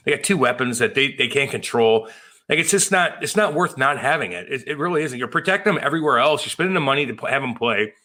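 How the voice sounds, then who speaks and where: An American man with a bright, forceful voice at an average pitch, co-hosting a podcast. He is blunt and sweary, talking in loose slang. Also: lively intonation, fast talker. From Missouri, USA. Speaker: podcast co-host. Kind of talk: casual bantering chat.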